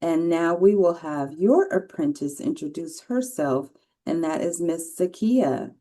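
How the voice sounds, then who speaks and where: A woman's voice: sits low, lively intonation, a little slow, mellow. A woman moderating an online panel, thanking and praising each speaker in an encouraging way. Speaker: webinar moderator.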